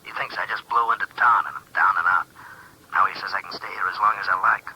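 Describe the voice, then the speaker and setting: An American actor playing a weary but cocky fugitive tough guy, husky, speaking through a telephone filter in a 1950s radio drama. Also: sits low, fairly even intonation, fast talker, pushed and bright, exaggerated voice, rough recording. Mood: cocky, furtive.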